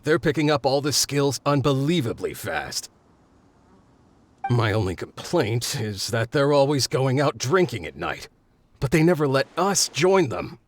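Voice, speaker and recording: A man's voice: mid-range voice, sing-song, unhurried. A man playing an easygoing, chatty excavation worker in a video game, with a folksy, good-natured manner. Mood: admiring, mildly grumbling. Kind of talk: video game character acting.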